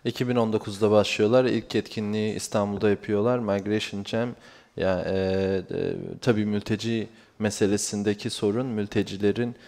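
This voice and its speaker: Casting: deep-voiced male interpreter, halting delivery, lots of drawn-out fillers. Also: steady tone, a little mellow. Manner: hesitant, many drawn-out fillers. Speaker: interpreter and coordinator. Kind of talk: consecutive interpreting at a panel.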